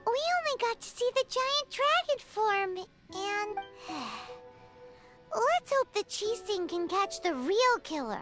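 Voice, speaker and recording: A woman voicing a tiny, childlike fairy companion in a video game, squeaky, expressive and inquisitive. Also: slow pace, full character voice. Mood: curious, pouty. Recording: game music behind.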